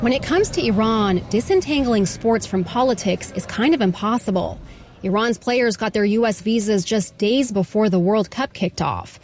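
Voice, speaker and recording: A woman's voice: medium pitch, moderate pace, a little mellow, slight background noise. A woman with an American accent, reading a scripted radio news report as the reporter.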